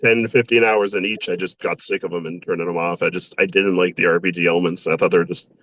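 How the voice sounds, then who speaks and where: A young man chatting casually as an interview guest, bashful and quick to laugh at himself. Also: low voice, steady tone, fairly bright tone, no room sound. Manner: laughs often, bashful and self-deprecating.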